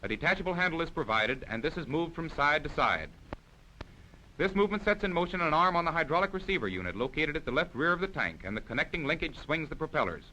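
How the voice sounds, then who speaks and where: Fast, bright-voiced man narrating a training film. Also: on the high side, big pitch swings, a little hiss or hum, rough recording. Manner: formal, precise, explanatory. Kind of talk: scripted narration, 1940s military training film.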